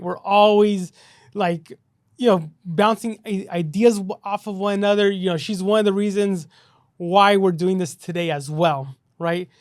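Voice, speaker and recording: A man's voice: high voice, a little mellow, no room sound, studio quality. Upbeat chatty male podcast host. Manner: upbeat, filler-heavy, says "you know" and "right" a lot. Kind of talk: casual podcast chat.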